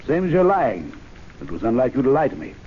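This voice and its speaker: An actor playing a stuffy, self-important gentlemen's club member in an old-time radio drama, speaking formally and pompously. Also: male voice, medium pitch, very animated, fast, lightly acted. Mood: affronted. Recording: dull lo-fi radio recording with hiss.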